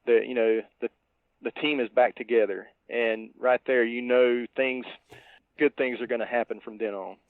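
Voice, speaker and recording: A man's voice: mid-range voice, steady tone, normal speed, no room sound. A man co-hosting a podcast, chatting easily about a film and leaning on lots of fillers. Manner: easygoing, full of "you know" fillers. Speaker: podcast cohost. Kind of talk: casual film review chat. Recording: dry, tinny phone-like line.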